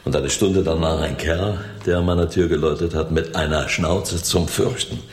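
Deep-voiced, wry, self-assured crook. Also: male voice, steady tone. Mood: guarded, wry. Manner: sardonic, deliberate, given to maxims. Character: wry, self-assured male crook, cautious gang planner. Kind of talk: German radio crime drama acting. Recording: roomy echo, faint background noise.

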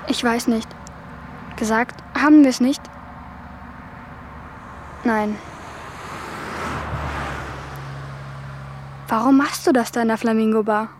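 A woman's voice: on the high side, fairly even intonation, a little slow, long pauses, a little mellow, a little hiss or hum, close to an everyday voice. A woman playing a timid, naive teenage girl in a radio drama, hesitant and pleading. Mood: uncertain. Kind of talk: German radio drama acting. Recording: music behind the voice. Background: music.

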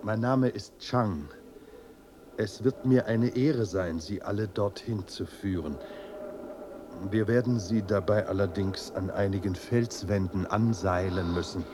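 A man acting in a radio drama as a courteous, composed older Chinese monastery guide, speaking formally and with measured politeness. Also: on the low side, natural voice. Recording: echoey room, faint noise.

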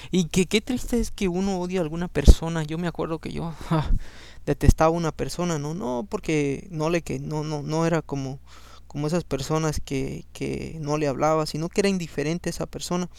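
A man's voice: fairly high pitch, fairly quick, vocal fry, mellow, smooth, no echo. A man whose first language is Spanish, hosting a Bible reading podcast. He reads scripture and adds personal commentary in a conversational way, often ending with a questioning "no?". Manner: conversational, keeps adding a questioning "no?"; frequent fillers and restarts.

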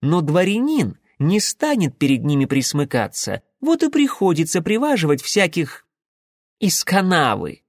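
A man's voice: high-pitched, big pitch swings, normal speed, no echo, studio quality, pitched above the performer's own voice. A man voicing an anxious young merchant's son in an audiobook, boastful yet servile, with a fawning, self-important manner. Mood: scornful, condescending. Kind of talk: audiobook character voice.

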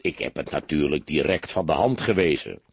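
A wry, heavyset police detective played by a male storyteller, husky and animated in pitch. He stays unflappable and teases with mock sincerity, in a dry, close recording. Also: moderate pace, no room sound. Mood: mock-sincere.

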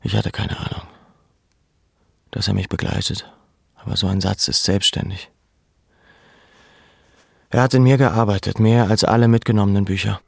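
A man looking back on his deportation to a camp. His voice is low and creaky, recorded very dry and close. He narrates in a measured, reflective way, as in a literary audio drama. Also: steady tone, no echo. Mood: sober. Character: male camp survivor soberly recalling his deportation.

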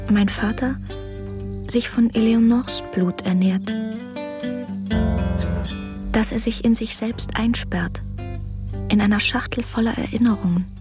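A woman's voice: medium pitch, close to an everyday voice. An actress playing a pensive adolescent girl, narrating intimately in a radio drama. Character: pensive adolescent girl musing about her father.

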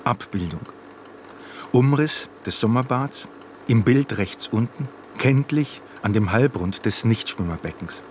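A man's voice: medium pitch, lively intonation, unhurried, background noise. A man reading scripted image captions in a clipped, matter-of-fact documentary way.

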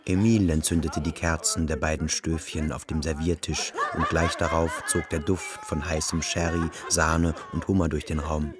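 A man's voice: rumbling low, little pitch movement, moderate pace, hardly any pauses. A man narrating a literary radio play in scripted prose, acting as its storyteller. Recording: music behind the narration. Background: music.

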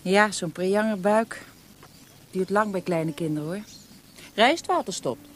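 A woman's voice: medium pitch, unhurried, natural voice. A woman acting in a Dutch radio play as the chatty, wistful wife of an interned soldier, in naturalistic dialogue. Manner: conversational, chatty, with small interjections. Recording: faintly noisy radio play recording.

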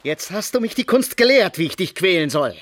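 An actor playing a menacing, gloating nobleman villain in a German radio drama, mocking and deliberate in delivery. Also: high-pitched, sing-song, fairly bright tone, a little hiss or hum. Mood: threatening.

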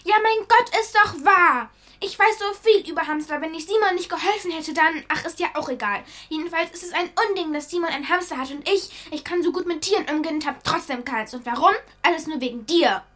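High-voiced, headstrong young girl. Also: brisk, fairly bright tone. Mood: indignant, defensive. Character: headstrong, defensive animal-loving young girl. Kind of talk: German audio drama acting.

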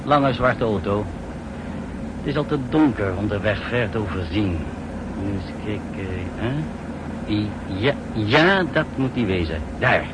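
An actor playing a dutiful, matter-of-fact operative in a 1960s radio spy drama, brisk and respectful. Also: male voice, lively intonation. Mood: watchful. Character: male spy-ring driver's guide, dutiful, matter-of-fact professional. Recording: noisy old radio recording, car rumble.

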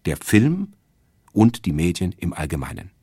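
Male radio essayist, low and husky, measured rhetorical delivery for a scripted cultural feature, close dry sound. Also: wildly swooping pitch, warm tone, no room sound.

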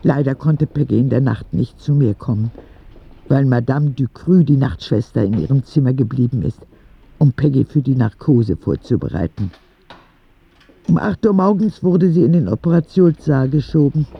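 Husky, gravelly candid young letter-writer. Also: male voice, medium pitch, lively intonation, moderate pace, lo-fi sound. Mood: anxious. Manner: confiding, earnest, with naive directness. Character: ten-year-old sick boy writing candid letters to God. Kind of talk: intimate radio drama letter narration.

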